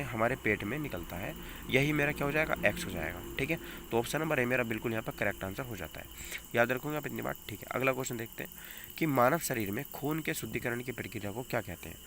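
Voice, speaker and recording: A man's voice: medium pitch, vocal fry, a bit bright. A man with a North Indian accent teaching an exam coaching lesson, repeating questions and answers for emphasis and asking rhetorical questions. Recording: noticeable background noise.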